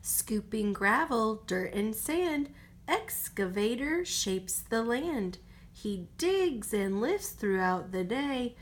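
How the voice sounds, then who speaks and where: Woman, mother reading to child, storybook read-aloud, slow pace, animated intonation, expressive delivery. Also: on the high side. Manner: expressive, drops to whispers for goodnights.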